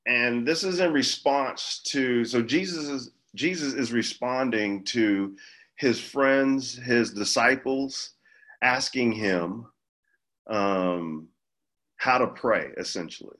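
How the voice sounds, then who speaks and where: A man speaking as a pastor, giving an informal Bible teaching in a measured, halting way with pauses mid-phrase. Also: mid-range voice, a bit bright. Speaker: pastor leading a church group.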